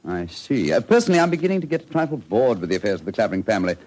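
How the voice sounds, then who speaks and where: Urbane british detective, fast and animated, dry wit, slightly husky, old radio play. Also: male voice, lowish voice, rough recording. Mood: dry, inquisitive. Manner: brisk, precise, drily sardonic. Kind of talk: old-time radio drama acting.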